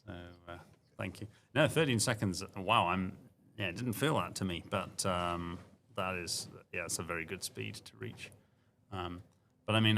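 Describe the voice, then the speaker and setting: Gravelly low-voiced male commentator. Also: a bit bright, a little hiss or hum. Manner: chatty, self-deprecating, trails off and restarts. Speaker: livestream commentator. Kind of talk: casual sports commentary.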